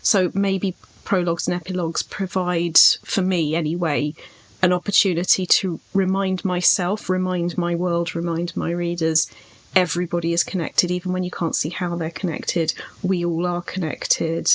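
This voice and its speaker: A woman's voice: lowish voice, little pitch movement, normal speed. A British woman reflecting on writing as a podcast co-host, speaking articulately and at length in a thoughtful way.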